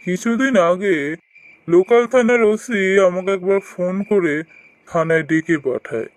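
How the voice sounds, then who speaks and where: Man acting a high-pitched, preoccupied cartoon uncle. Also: moderate pace, lightly acted. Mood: grave, storytelling. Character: preoccupied uncle investigating mysterious deaths, brisk and caring. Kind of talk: animated ghost story voice acting.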